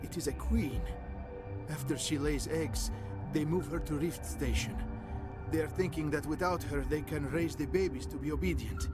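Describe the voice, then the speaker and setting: Actor as weary Slavic-accented station director, higher-pitched. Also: male voice, lightly acted. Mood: explaining. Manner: halting non-native grammar, grave and apologetic. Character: weary, regretful male research station operations director. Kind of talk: video game dialogue. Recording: loud music behind the voice.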